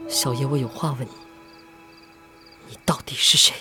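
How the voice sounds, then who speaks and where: An actor playing a spirited, proud 1930s young master with old-fashioned, theatrical turns of phrase in a Chinese audio drama. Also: male voice, medium pitch, steady tone, moderate pace, natural voice. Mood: threatening. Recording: music behind the voice.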